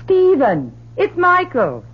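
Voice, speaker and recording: A woman's voice: very high voice, huge pitch swings, slow, heavily acted. An actress playing a protective middle-aged mother and wife in an old radio drama, solicitous and fussing. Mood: fond, flustered. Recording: dull old radio recording, clear hiss.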